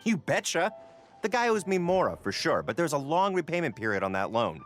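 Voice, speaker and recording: An actor playing a cautious, grumbling small-time male merchant in a video game, colloquial and animated. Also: on the high side, fairly quick, faint music behind. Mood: defensive, grumbling. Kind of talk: video game character dialogue.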